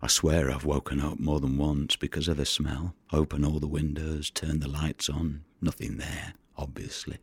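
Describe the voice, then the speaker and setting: Very deep, gravelly haunted fisherman. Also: little pitch movement, dry recording, heavily acted. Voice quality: gravelly, husky. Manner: brooding, halting, confiding. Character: weary, haunted male fisherman who recovers the drowned. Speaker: actor in an audio drama. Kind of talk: intimate dramatic monologue.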